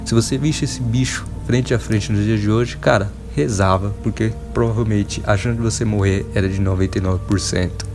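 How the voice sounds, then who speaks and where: A man's voice: fairly low pitch, steady tone, normal speed, few pauses, over music. A Brazilian man hosting a casual enthusiast video, talking in an emphatic, informal, wonderstruck way. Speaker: video host.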